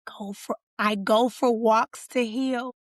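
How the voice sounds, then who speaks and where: A woman in her forties from Chicago, a guest chatting about her life in a playful, lively way. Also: a little high, slow pace, no echo, clean studio sound. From Chicago, USA. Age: 40s. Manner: lively, playful, talks up her city with slang. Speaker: podcast guest, author. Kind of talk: casual interview chat.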